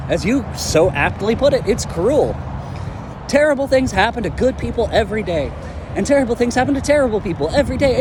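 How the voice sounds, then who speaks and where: A man's voice: sits high, noisy background. A man voicing a stubborn young male hero who rants bluntly and swears. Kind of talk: fan audio drama acting.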